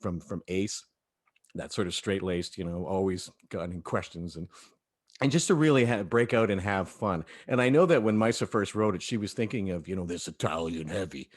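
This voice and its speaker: A man's voice: sits low, normal speed. A man hosting a casual cast discussion about an audio drama, enthusiastic and prompting the others.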